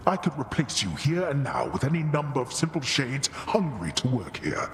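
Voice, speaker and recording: Scornful underworld god-king, commanding and cutting, lively rising and falling delivery, video game. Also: mid-range voice, normal speed, long reverb tail, a little hiss or hum. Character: stern male god of the dead, cold, scornful father.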